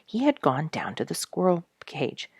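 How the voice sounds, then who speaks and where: A woman narrating an animal story as an audiobook, expressively, with dramatic delivery that dips into hushed tones. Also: low voice, big pitch swings, moderate pace, slightly rough, no echo, clean studio sound. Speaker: storyteller, show regular.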